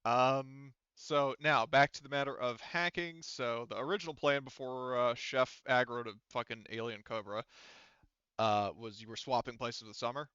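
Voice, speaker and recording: A man's voice: medium pitch, steady tone, normal speed, sharp tone, smooth, no room sound, highs cut off. A man casually running a tabletop game as game master, rambling and swearing freely.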